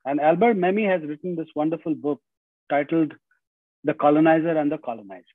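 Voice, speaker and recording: A man's voice: on the high side, fairly quick, no room sound. A middle-aged man with an Indian accent, giving an earnest lecture over a video call from home, often saying "you know" and restarting phrases. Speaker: guest speaker. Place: home room, indoors. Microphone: video call with earbuds. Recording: dry, tinny video call audio.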